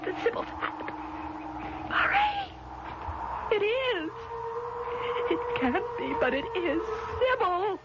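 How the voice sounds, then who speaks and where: An actress playing a distraught, accusing sister, grieving and frightened, in intense 1970s radio drama style. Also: female voice, very high-pitched, wildly swooping pitch, takes it slowly, pauses often, exaggerated voice. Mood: terrified, hysterical. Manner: intense, melodramatic, emphatic. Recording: dull, noisy vintage radio recording.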